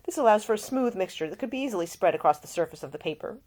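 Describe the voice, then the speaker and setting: A man's voice: high-pitched, animated, racing, a little mellow, pitched above the performer's own voice. A woman voicing a pedantic nobleman in an audiobook, precise and given to lecturing. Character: irritable, pedantic young earl obsessed with potions. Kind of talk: audiobook character voice.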